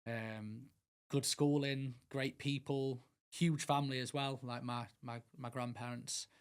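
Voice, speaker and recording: A middle-aged man talks as a podcast guest, earnest and rambling, often restarting his sentences. His voice has a gravelly fry to it. He keeps his pitch fairly level throughout. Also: fairly even intonation, measured pace. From England. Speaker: guest, mental health speaker. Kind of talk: casual podcast interview.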